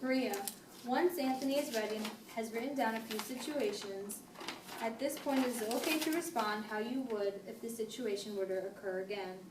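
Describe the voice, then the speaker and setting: A woman playing a patient, firm family therapist, speaking in a measured, formal way in an amateur role-play. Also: on the high side, natural voice. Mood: calm, directing. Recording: noisy echoey room, distant mic.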